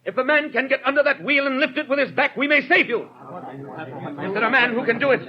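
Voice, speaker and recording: An actor playing a stern, unbending police inspector with a formal, commanding manner in a 1930s-style radio drama. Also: male voice, very high-pitched, a little fast. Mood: urgent, commanding. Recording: muffled 1930s radio broadcast, crowd noise.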